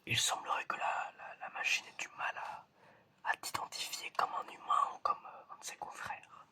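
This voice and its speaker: A man doing an ASMR roleplay in a breathy, unvoiced whisper, addressing the listener directly and often repeating himself. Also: moderate pace, sharp tone. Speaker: ASMR video creator playing an examiner.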